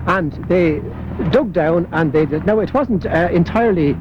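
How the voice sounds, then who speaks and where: A man's voice: sits high, expressive, moderate pace. An Irish man, a local historian, talking as he walks through old city streets, with many hesitant fillers. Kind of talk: walking interview. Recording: muffled 1980s broadcast with street noise.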